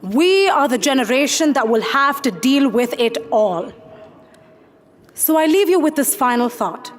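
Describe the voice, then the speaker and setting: High-voiced young woman giving a commencement speech. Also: a little hiss or hum. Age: young adult. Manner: earnest, measured, with rhetorical questions. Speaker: graduating student speaker.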